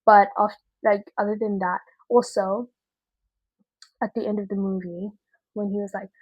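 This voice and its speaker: A woman giving a casual, chatty video review in an expressive, slangy way with emphatic repetition. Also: medium pitch, moderate pace, husky, dry recording, highs cut off.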